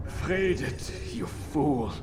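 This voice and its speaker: A man's voice: fairly high pitch, expressive, very slow, over music. A man playing a dying, disillusioned crusader in a video game, proud and bitter, with a weakened delivery. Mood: bitter, defiant. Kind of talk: dramatic video game cutscene acting.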